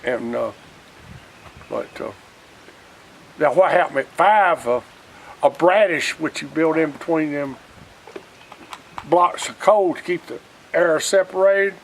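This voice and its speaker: A man's voice: fairly high pitch, measured pace, long pauses. An older man with a Southern US accent casually reminiscing in a folksy, plainspoken way. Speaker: interviewee, retired coal miner. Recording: noisy outdoor recording with wind.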